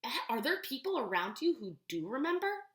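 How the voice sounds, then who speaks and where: A woman's voice: on the high side, big pitch swings, brisk. A woman reading a storybook, voicing a kindly, encouraging female schoolteacher. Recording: roomy, echoey room sound.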